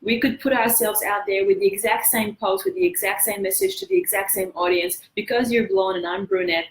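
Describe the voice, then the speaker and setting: A woman's voice: medium pitch, lively intonation, fairly quick, slight background noise. French-accented woman coach, echoey room. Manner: earnest, encouraging, asks viewers for feedback. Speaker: coach hosting a weekly live session. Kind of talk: motivational live-stream talk.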